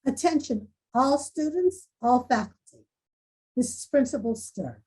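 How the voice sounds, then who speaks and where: A woman playing a proud, composed school principal in a screenplay table read, speaking formally with a husky voice. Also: sits high, animated, measured pace, darkish tone, heavily acted, low-quality recording. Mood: formal, announcing.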